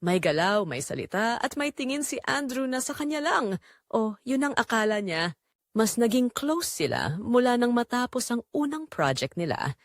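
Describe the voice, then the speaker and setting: A woman with a Filipino accent narrating a scripted romance story in an expressive, measured way with dramatic pauses. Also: on the high side, no room sound, studio quality.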